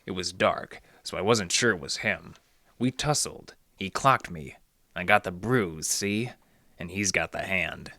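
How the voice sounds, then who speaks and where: An actor playing a wry, deadpan library detective who narrates his case in hardboiled old radio style. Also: sits low, measured pace, dry recording, exaggerated voice. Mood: pressing, accusing. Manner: deadpan, sardonic, slangy.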